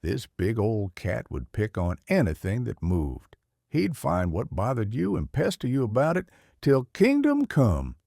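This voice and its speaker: A man with a Southern US accent reading a children's storybook aloud in a folksy, expressive way. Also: fairly low pitch, measured pace, gravelly, dry recording, studio quality. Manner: folksy, animated.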